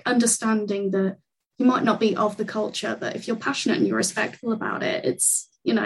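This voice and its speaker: A woman's voice: medium pitch, rough recording. A woman leading a podcast book discussion, chatty and friendly. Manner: friendly, chatty, laughs easily. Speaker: podcast interviewer. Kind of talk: casual podcast chat.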